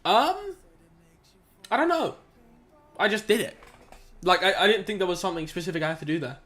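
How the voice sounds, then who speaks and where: Young male streamer, high voice, laid-back slangy chat while working problems aloud. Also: animated, a little fast, a bit bright. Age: young adult. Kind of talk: casual live-stream chat while solving maths.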